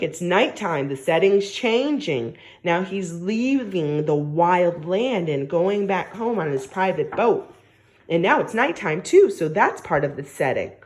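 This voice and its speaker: A woman teacher reading a story aloud to young children, expressive and coaxing, often asking questions and stressing key words. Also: medium pitch. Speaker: primary school teacher.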